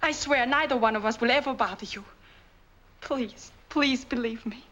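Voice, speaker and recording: A captive young wife in a 1940s film melodrama. Her voice is high and bright, earnest and full of pleading. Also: moderate pace, dull audio. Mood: desperate, pleading. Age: young adult.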